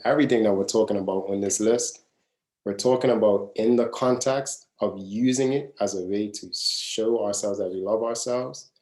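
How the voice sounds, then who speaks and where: A man's voice: fairly low pitch, darkish tone. Earnest mid-thirties man, echoey room. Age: 30s. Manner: earnest, casual, repeats phrases to stress them. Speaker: wellness coach. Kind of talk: live masterclass talk.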